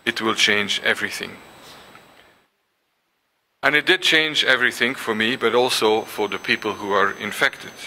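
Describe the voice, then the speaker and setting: An older man whose first language is Dutch gives a symposium lecture, pausing often with ums and restarts and reading passages aloud. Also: lowish voice, creaky, bright, forceful tone, poor audio. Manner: hesitant, many ums and restarts. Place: lecture hall.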